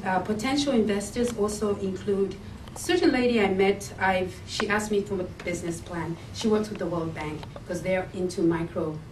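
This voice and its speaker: A woman from Zambia pitching her business plan, hesitating often with ums and uhs. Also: darkish tone. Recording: echoey room, mic far off, faint hiss.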